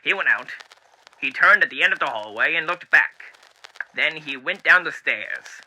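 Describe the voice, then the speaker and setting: A man's voice: a little high, animated, normal speed, pushed and bright, exaggerated voice, rough recording. A man voicing a genteel, eerily cheery demon radio host with showy, mannered delivery, in the style of 1930s radio acting. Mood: solemn storytelling.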